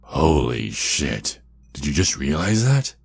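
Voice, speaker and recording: Sinister, taunting ancient vampire kidnapper played by a man, rock-bottom pitch, breathy husk, ASMR drama. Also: big pitch swings, heavily acted. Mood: taunting, gleeful. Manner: slow, drawn-out, mocking menace. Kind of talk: ASMR roleplay monologue.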